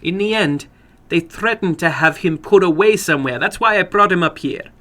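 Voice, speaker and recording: Guy doing a little old foreign lady from a short story, pitched up high, bright voice, sorry and worn out, really emphatic. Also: normal speed. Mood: exasperated. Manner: emphatic, apologetic, regretful. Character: small, rather old Spanish-speaking woman, apologetic, weary. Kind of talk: dramatic horror story reading.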